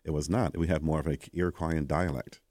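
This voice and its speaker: A Canadian man, a guest in an interview, telling stories in a conversational way and acting out quoted exchanges with lively energy. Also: very deep voice, fairly quick, no echo, clean studio sound. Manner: animated, acts out quoted exchanges.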